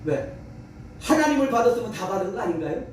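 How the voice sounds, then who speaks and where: High-pitched male preacher in heavy echo. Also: very animated, fast. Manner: emphatic, exhorting, with rhetorical questions. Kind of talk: fervent revival sermon and prayer. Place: large echoey room at a revival meeting. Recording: very echoey, distant mic, faint noise.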